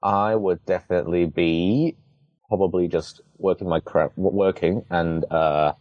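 A man's voice: low voice, fairly even intonation, measured pace, a little mellow, dry recording, highs cut off. A young man from Hong Kong whose first language is Cantonese, answering interview questions casually, with many restarts, fillers and wry humor. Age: young adult. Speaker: interviewee, student.

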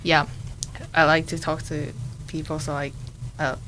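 A woman from Japan, a native Japanese speaker, talking in short, halting phrases with a somewhat low voice. A faint hiss sits under the recording. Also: level tone, a bit bright, rough recording. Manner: simple halting phrasing, laughs easily. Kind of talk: casual interview answers.

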